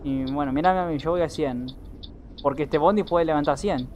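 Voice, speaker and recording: A man's voice: a little high, moderate pace, a little mellow, dull audio, a little hiss or hum. An Argentine man with an Argentine accent giving casual live commentary while streaming a driving game, chatting with viewers and swearing now and then.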